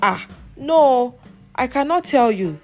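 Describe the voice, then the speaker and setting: A sly young villager from a folktale, played by a woman storyteller. He shares secrets in a coy, confiding way with very varied pitch. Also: expressive, slow, a little mellow, soft background music, exaggerated voice. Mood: coy refusal. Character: aggrieved, scheming young village man telling secrets. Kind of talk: folktale character voice.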